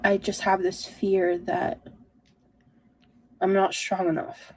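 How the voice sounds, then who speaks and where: A woman speaking in a confessional vlog style, earnest and halting as she addresses her viewers. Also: medium pitch, flat delivery, a little mellow, slight background noise. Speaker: vlogger.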